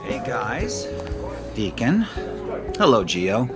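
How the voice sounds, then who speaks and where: A middle-aged businessman father in an audio soap opera. His voice sits at a medium pitch, breezy but probing, with music clearly behind it. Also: sing-song, slow pace. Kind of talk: naturalistic soap opera dialogue. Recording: studio drama with music underneath.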